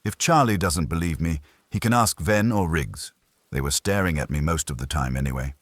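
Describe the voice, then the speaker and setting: A man's voice: rumbling low, normal speed, no room sound, clean studio sound. A man playing a cocky, flippant wisecracker in an audiobook detective story, teasing as he talks.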